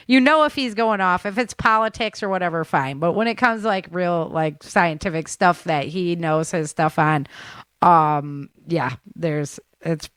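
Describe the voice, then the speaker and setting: A woman's voice: mid-range voice, fairly bright tone, dry recording. A woman hosting a talk show, running through news updates in a chatty, informal way. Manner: chatty, informal, addresses listeners as guys. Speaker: show host.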